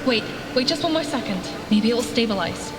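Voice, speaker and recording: An actress playing a proud, defensive, imperious scientist in a sci-fi audio drama. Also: female voice, fairly high pitch, lively intonation, fairly quick, close to an everyday voice. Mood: imperious, angry. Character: proud, defensive female scientist cracking under blame. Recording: long echoing reverb under music.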